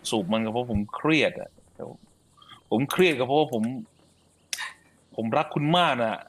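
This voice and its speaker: A man's voice: mid-range voice, moderate pace, fairly bright tone, close to an everyday voice. A man playing a possessive, brooding male captor in a hammy melodrama. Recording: noisy background.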